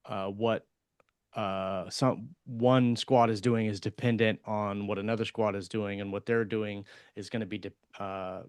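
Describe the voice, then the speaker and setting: A man with a fairly low voice in a dry recording with no room sound. He talks casually as a podcast co-host, working through explanations and sometimes doubling back on his words. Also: fairly even intonation, a little slow, smooth, dry recording. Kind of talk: casual discussion of writing craft.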